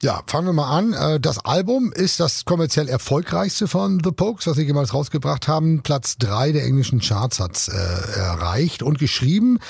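A man's voice: fairly high pitch, expressive, normal speed, vocal fry, hardly any pauses, husky, dry recording, studio quality. A man co-hosting a music show, explaining songs in a conversational way from loose notes. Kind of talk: semi-scripted music storytelling.

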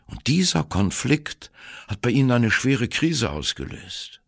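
A condescending male psychologist in a radio play. He lectures in a measured, patronising manner, with a husky, gravelly voice and a widely moving melody. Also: mid-range voice, big pitch swings, a bit bright, dry recording, clean studio sound, heavily acted. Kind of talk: radio play, quoted character in monologue.

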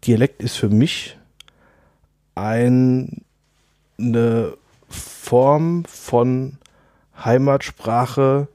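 A man co-hosts a casual podcast. He answers briefly, with pauses, at a middle pitch. The sound is dry. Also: fairly even intonation, dark tone, dry recording. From Palatinate, Germany. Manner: short, hesitant answers with pauses. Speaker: podcast co-host.

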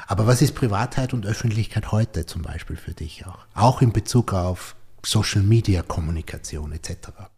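Man, host, low dark voice, husky, asks long rambling questions. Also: moderate pace, hardly any pauses. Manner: thinks aloud, many fillers and tag questions. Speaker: podcast host, interviewer. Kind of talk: conversational interview.

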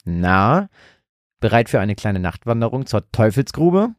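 A thrill-seeking young man on a night ghost hunt in a horror audiobook , acted out by the male narrator. He speaks in an excited, breathy and dramatic hush, recorded very dry. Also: on the low side, unhurried, dry recording. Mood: teasing. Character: thrill-seeking young boyfriend, eager, hushed ghost hunter.

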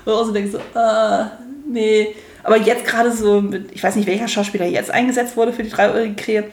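A woman's voice: medium pitch, little pitch movement, a little fast. Chatty woman in her thirties, echoey room. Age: 30s. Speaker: podcast co-host. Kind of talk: casual rewatch podcast chat.

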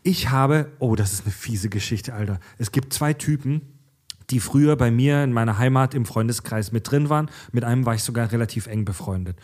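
A man's voice: medium pitch, fairly quick, a little mellow. Blunt, heated podcast host man. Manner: blunt, swears freely, heated. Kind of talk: casual podcast chat.

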